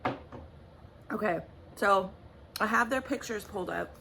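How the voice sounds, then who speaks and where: A woman giving a tarot reading in a chatty, rambling way, apologizing often, her voice raspy from just waking up. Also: medium pitch, measured pace, background noise. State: raspy morning voice. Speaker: tarot reader. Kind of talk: casual vlog talk.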